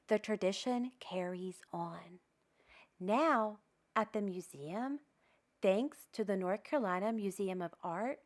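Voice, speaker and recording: A woman with a high voice hosts a read-aloud for small children. She speaks very slowly and deliberately, with expressive emphasis. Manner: animated, deliberate enunciation for young children. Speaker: museum storytime host.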